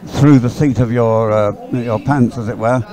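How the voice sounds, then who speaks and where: A man giving conversational live sports commentary in a hesitant, halting way, often repeating his words. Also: medium pitch, steady tone, noisy background. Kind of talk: live dragon boat race commentary, conversational. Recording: live sports coverage, crowd in background.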